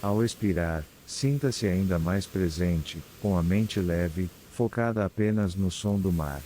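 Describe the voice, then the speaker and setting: A man with a Brazilian accent guides a scripted meditation in a calm, soothing, unhurried manner with long pauses. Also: deep voice, a little hiss or hum. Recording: clear background ambience of ocean waves. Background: sea waves ambience.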